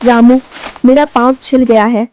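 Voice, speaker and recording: A woman's voice: a little high, little pitch movement, a little slow, a little mellow, lo-fi sound, a little hiss or hum, close to an everyday voice. A woman playing a poor, devout village wife in a moral cartoon story, earnest and pleading as she longs for a child. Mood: weary sorrow.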